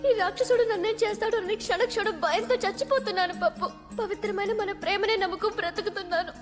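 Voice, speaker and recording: A very high-voiced young captive, sweetly dramatic and cheeky, as in a film. She scolds playfully in a theatrical way, in a long reverb with faint music behind. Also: quick pace. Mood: melodramatic, pining. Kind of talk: Telugu film acting.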